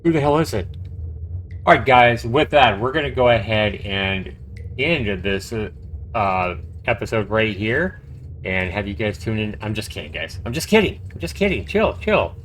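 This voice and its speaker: A man's voice: fairly low pitch, animated, normal speed, fairly bright tone, slight background noise. A man live-streaming a game, reading its text aloud and adding casual, reactive commentary. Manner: reactive, conversational.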